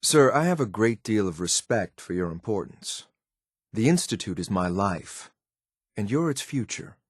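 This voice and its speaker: An actor playing a stern, loyal synthetic agent in a video game, speaking in a clipped, formal, deadpan military way. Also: male voice, lowish voice, normal speed, husky, no echo, clean studio sound, full character voice. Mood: restrained frustration. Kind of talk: scripted game character lines.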